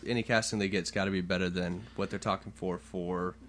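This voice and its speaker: A man co-hosting a casual podcast, talking louder than his partner and joking with plenty of swearing. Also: low-pitched, fairly even intonation, fairly quick, a little hiss or hum. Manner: louder, leans into the mic, joking and profane. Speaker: podcast co-host. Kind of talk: casual chat.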